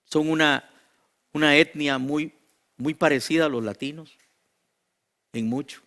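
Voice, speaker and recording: A man with a Guatemalan accent preaching to a congregation as a pastor, in emphatic, repeated exhortations with deliberate pauses. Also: fairly high pitch, fairly bright tone. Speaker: pastor and teacher. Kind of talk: sermon to a congregation.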